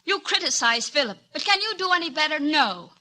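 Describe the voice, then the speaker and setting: A proud young lady in a 1950s radio drama. Her voice is high and bright, her manner formal and reproachful, on an old broadcast recording. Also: sing-song, fairly quick, exaggerated voice.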